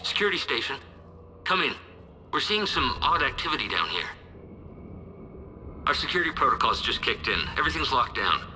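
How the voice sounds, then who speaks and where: An urgent male security guard reports in for a cinematic game. His voice is very sharp and mid-pitched. It echoes with long reverb and faint noise. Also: very animated, fairly quick, pauses often. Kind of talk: cinematic video game acting.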